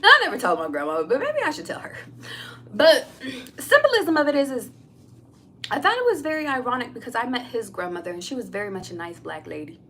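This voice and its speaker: A fairly high-voiced woman whose pitch swings widely as she talks. She is a tarot reader chatting with her viewers, blunt and candid, swearing freely. A faint hum of background noise sits under her voice. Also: wildly swooping pitch, brisk, a bit bright. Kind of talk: casual personal storytelling to viewers.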